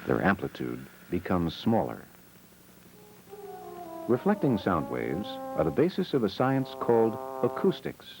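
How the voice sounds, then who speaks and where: A male narrator with a very low voice and lively, moving intonation delivers scripted educational film narration. He explains things in short, measured sentences. Music plays behind him on an old VHS soundtrack. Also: creaky, rough recording.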